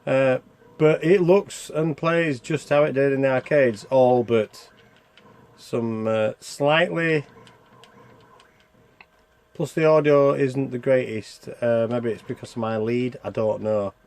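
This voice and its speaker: A man's voice: medium pitch, steady tone, takes it slowly, a little mellow, clear, resonant tone. A man giving casual, chatty commentary over gameplay, thinking aloud and asking himself questions as a reviewer. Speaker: video game reviewer.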